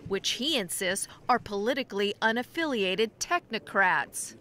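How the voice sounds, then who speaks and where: A woman's voice: mid-range voice, lively intonation, moderate pace, pushed and bright, a little hiss or hum. A woman narrating a scripted news report as a television reporter.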